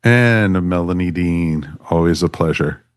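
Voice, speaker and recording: A man's voice: sits low, measured pace, clean tone. A man hosting a podcast in casual, chummy chat, addressing everyone like friends. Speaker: podcast host.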